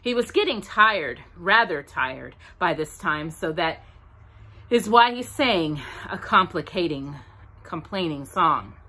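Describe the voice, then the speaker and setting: A young woman reading a children's story aloud at home, animated and sing-song, close to the camera. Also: fairly low pitch, slow. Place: home room with bookshelves, indoors. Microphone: device camera close up.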